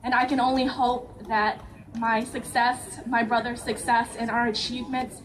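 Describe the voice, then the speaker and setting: A young woman, a guest speaker, telling her family's story at a community event in an earnest, steady way with frequent ums. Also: fairly high pitch, normal speed, crowd noise, low-quality recording. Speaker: nonprofit vice president, guest speaker. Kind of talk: personal speech at a community event.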